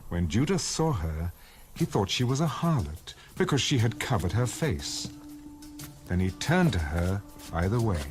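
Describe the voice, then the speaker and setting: Lively, low-voiced male scripture narrator. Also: unhurried, soft background music. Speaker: audio Bible narrator.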